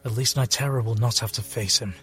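An actor playing a troubled, loyal alien warrior, solemn and regretful, in an audio drama. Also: male voice, medium pitch, steady tone, fast talker, soft background music, natural voice. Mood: somber.

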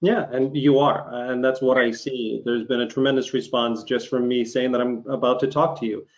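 A man from Ukraine who learned English as a teenager, interviewing in a halting, reflective, conversational way. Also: mid-range voice, level tone, low-quality recording. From Ukraine, former Soviet Union. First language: not English; learned it as a teenager. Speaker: interviewer, host.

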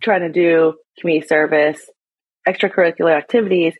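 Low husky-voiced woman, dull audio. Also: fairly even intonation, fairly quick, no room sound. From United States. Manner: earnest, storytelling, with fillers. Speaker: guest, nonprofit founder. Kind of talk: interview conversation.

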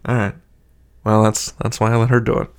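A man's voice: on the low side, completely flat delivery, a little fast, vocal fry, smooth. A man hosting a podcast, reading a scripted outro and credits with playful asides. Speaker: podcast host.

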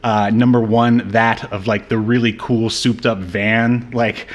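A man co-hosting a podcast, chatting casually and jokingly about a film, quick to laugh. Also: fairly low pitch, flat delivery, dull audio. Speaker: podcast co-host. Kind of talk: casual movie review banter.

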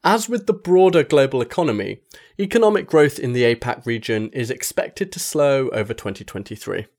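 Guy reading a magazine article out loud, medium pitch, kinda formal and measured. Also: lively intonation. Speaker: article reader. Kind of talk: scripted narration, magazine article read aloud.

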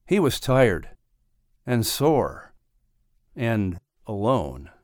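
A man reading a children's adventure audiobook as narrator, in an expressive scripted storytelling style. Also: lowish voice, extremely slow, no room sound, studio quality.

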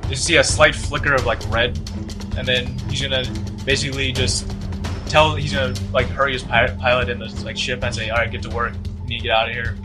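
Guy player talking out his move in a tabletop game, average pitch, music behind. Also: flat delivery, quick pace. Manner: thinks aloud, describing his character's actions. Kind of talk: casual improvised role-play talk.

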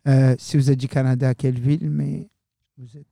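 A man's voice: medium pitch, flat delivery, moderate pace, vocal fry, warm tone, no echo, clean studio sound. A young man hosting a student radio show, talking loosely and casually and slipping in English words. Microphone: studio microphone.

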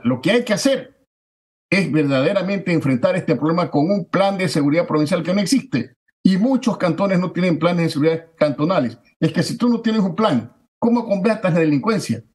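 A man, a political candidate giving forceful, declamatory campaign answers that stack repeated phrases for emphasis. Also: a little high. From Ecuador.

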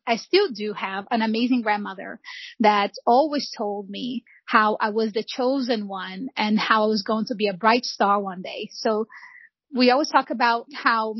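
A woman's voice: fairly high pitch, normal speed, slightly rough, no echo. A middle-aged woman from Brazil, earnestly telling her life story as a guest on an interview podcast. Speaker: guest, real estate founder. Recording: dull lo-fi, dry sound.

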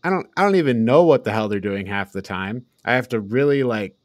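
A man's voice: fairly low pitch, animated, a little fast, no echo, clean studio sound. A man hosting a casual film review podcast, speaking bluntly and informally. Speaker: podcast host.

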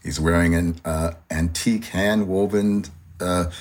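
A man's voice: deep voice, fairly even intonation, unhurried. An older man telling stories in an interview, with light laughs and playful asides. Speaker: choreographer guest. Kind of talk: reflective interview storytelling.

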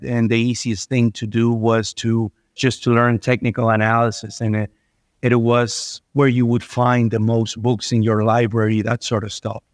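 A man's voice: mid-range voice, clear, resonant tone, no room sound, studio quality. A middle-aged man from Venezuela, a guest answering interview questions with many fillers and restarts. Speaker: investment manager guest.

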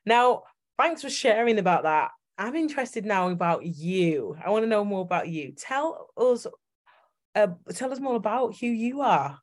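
A woman's voice: mid-range voice, big pitch swings. Chatty northern English woman host. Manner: enthusiastic, chatty, quick asides. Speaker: podcast host. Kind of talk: casual interview chat.

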